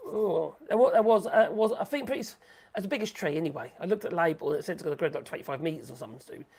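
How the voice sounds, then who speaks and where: Gravelly, rambling streamer. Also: medium pitch, expressive, very fast, a little mellow. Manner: rambling, sarcastic, chatty. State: losing voice. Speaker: livestream host. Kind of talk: rambling live commentary.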